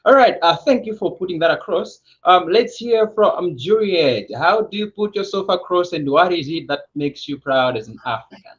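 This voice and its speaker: Man, online panel host, animated storyteller, higher pitch, dull lo-fi audio. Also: moderate pace, hardly any pauses.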